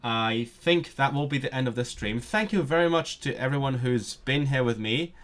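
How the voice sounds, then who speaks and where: A man's voice: medium pitch, animated, moderate pace, fairly bright tone. A man whose first language is Cantonese, chatting casually to viewers on a live stream, nerdy and eager, often tripping over words and starting them again.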